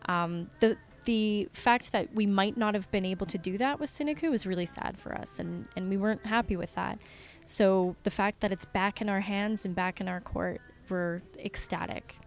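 A woman's voice: medium pitch, normal speed. A woman talking casually and enthusiastically as an interviewed independent filmmaker, with an earnest manner.

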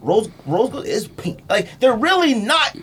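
A man's voice: extremely high pitch, sing-song, a little slow, a bit bright, slightly rough. A man hosting a podcast, arguing casually and emphatically in slangy, repetitive phrases. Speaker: podcast host. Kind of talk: animated sneaker debate, casual chat.

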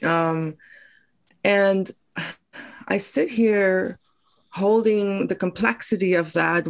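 South african woman, afrikaans first language, gravelly voice, thinks out loud with lots of ums and restarts. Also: low voice. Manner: thoughtful, searching, many restarts and fillers. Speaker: podcast guest, author. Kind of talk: reflective interview conversation.

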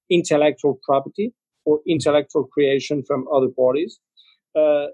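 A man from Spain speaks as an interview guest, his voice sitting a little higher than most men's. He talks fluently but leans on "you know" again and again. The sound is dry, with no room echo. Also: mellow. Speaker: interview guest, trademark association representative. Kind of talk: conversational interview answers.

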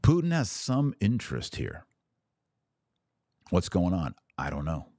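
A man's voice: sits low, huge pitch swings, measured pace, warm tone, no room sound. A man hosting a podcast, giving casual religious commentary in a colloquial, musing way with punchy, repeated short phrases. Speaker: podcast host.

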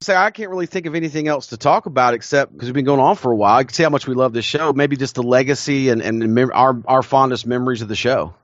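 A man's voice: medium pitch, fast talker, few pauses, no room sound. Brief: male podcast co-host, eager storyteller, dry, slightly dull recording. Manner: enthusiastic storytelling, frequent restarts, laughs often. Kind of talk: casual chat.

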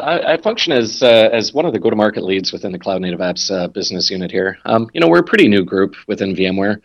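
A very deep-voiced man, a guest on a tech podcast, explains his work at length. He talks fluently but leans on lots of fillers like "uh" and "you know.". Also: a bit bright. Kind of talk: casual interview answers.

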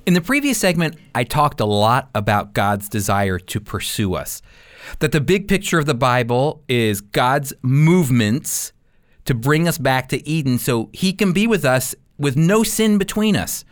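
A man teaching the Bible as a radio host, speaking in an earnest, emphatic way with deliberately shaped, scripted phrasing. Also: medium pitch, sing-song.

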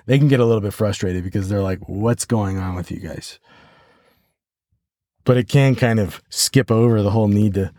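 An American man hosting a podcast solo, talking reflectively and haltingly as he thinks aloud. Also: medium pitch, darkish tone, no echo, clean studio sound. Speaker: podcast host.

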